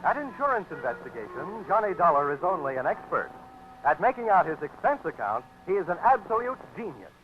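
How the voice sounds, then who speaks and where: A man announcing the opening of a 1940s radio drama in a booming, showy way. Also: very animated, fairly quick. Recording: dull old radio broadcast with music.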